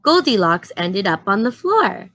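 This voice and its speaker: A woman storyteller reading a children's story aloud in an expressive, deliberate way. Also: mid-range voice, creaky.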